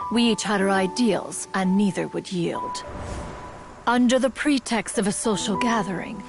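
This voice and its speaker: A woman's voice: mid-range voice, close to an everyday voice. A woman playing a proud, mournful, ancient immortal in a video game, telling stories in formal, archaic, stately speech. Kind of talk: scripted game storytelling.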